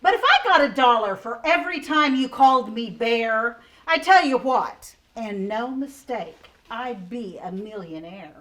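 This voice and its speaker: Lady reading as a grumpy little koala guy, fed up, slow and loud, kinda high, picture book voice. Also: animated. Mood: indignant. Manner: indignant, slow, loud rhyming delivery. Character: grumpy male koala, fed up with being called a bear. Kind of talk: picture book read-aloud.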